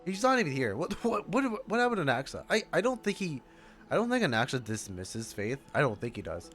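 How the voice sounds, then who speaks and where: A man streaming casual game reaction commentary, haltingly repeating his phrases as he thinks aloud. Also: fairly high pitch, big pitch swings, fairly bright tone. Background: game music.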